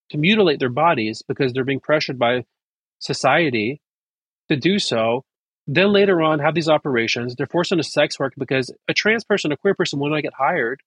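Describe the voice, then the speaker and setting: Husky, animated man. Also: on the high side, dry recording, muffled recording. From United States. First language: Persian. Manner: animated, quick bursts with restarts. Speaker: guest. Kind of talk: casual interview.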